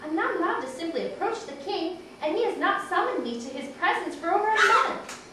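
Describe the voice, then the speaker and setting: A woman's voice: very high-pitched, expressive, brisk. A woman playing a distressed, melodramatic young queen in an amateur puppet show. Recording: very echoey room, distant mic, noisy.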